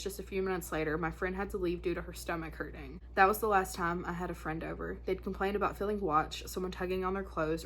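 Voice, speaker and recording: Casting: woman with a lowish voice, slightly congested, informal spooky-story host, faint noise behind her. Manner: informal, conversational, slangy asides. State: congested, stuffy nose. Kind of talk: casual chat and reading of listener horror stories.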